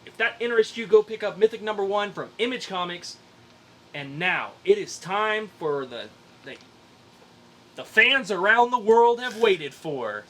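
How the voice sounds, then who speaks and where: A man's voice: high-pitched, expressive, measured pace, fairly bright tone. A man hosting a casual podcast discussion about comic books. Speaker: podcast host.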